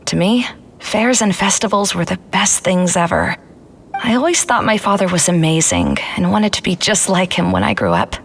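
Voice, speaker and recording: A woman's voice: lowish voice, big pitch swings, moderate pace. A woman playing a wistful, self-doubting young scholar in a video game, in a reflective, explanatory manner. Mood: nostalgic.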